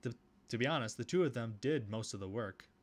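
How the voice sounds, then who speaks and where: A man reading a visual novel aloud, with relaxed commentary and casual asides. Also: mid-range voice, brisk. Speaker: video game let's player.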